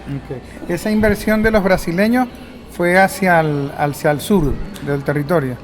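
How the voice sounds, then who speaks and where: Higher-voiced male interviewer. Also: measured pace. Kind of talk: interview questions.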